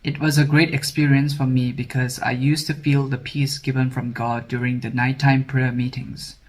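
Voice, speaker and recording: A man's voice: medium pitch, flat delivery, moderate pace. A man in his twenties from Sri Lanka, a scholarship candidate, reading a prepared testimony in a formal, read-aloud way. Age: 20s.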